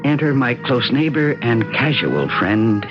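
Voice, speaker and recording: An actress playing a neglected, sardonic, articulate housewife in an old-time radio drama. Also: female voice, rumbling low, very animated, a little slow, exaggerated voice. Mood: wry confiding. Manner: wry, articulate. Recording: muffled old radio recording, loud music.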